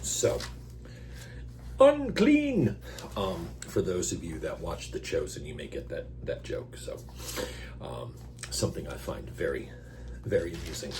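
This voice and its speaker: A man hosting a scripture reading, stopping for casual, self-deprecating asides and clearing his throat through his allergies. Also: deep voice, big pitch swings, a little slow, long pauses, darkish tone. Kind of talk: Bible reading with informal commentary. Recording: noisy recording with electrical hum.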